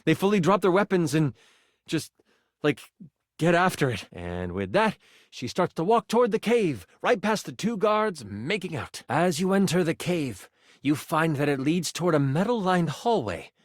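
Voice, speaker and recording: A man's voice: on the high side, very animated, moderate pace, no echo, clean studio sound. A man voicing a game master who narrates a fantasy quest in a video game with theatrical, dramatic delivery and playful asides. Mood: playful, excited.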